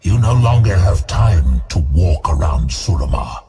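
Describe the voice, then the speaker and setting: A man's voice: sits low, lively intonation, measured pace, mellow, faint music behind, pitched below the performer's own voice. A man voicing a cold, imperious demon lord who gives clipped orders in a fantasy audio story. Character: commanding demon lord speaking inside a mind, male.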